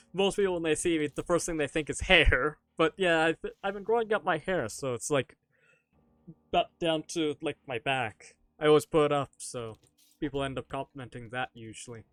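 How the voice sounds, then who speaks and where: A man's voice: fairly high pitch, moderate pace, a bit bright, no room sound. A man streaming live from home, chatting casually and answering viewer questions with self-mocking jokes and frequent laughter.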